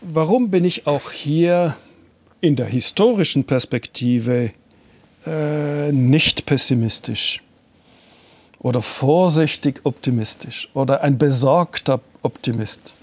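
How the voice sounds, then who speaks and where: An older man whose native language is French, giving measured, deliberate answers as an interview guest and slowing down to stress his points. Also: fairly high pitch, a little mellow. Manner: measured, deliberate, slows down for emphasis.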